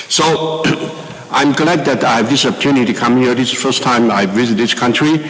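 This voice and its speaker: A man's voice: medium pitch, normal speed, a bit bright. A man from Taiwan with a Taiwanese accent, opening a conference lecture in a casual, joking, chatty way. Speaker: guest lecturer. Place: lecture hall. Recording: echoey lecture hall, faint noise.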